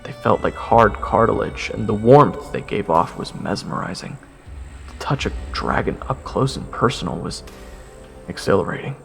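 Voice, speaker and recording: A man's voice: fairly low pitch, animated, normal speed, gravelly, fairly bright tone. A man playing a nervous young swordsman, narrating a fantasy encounter in the first person with earnest, dramatic delivery. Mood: hushed, awed. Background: fantasy game-style music.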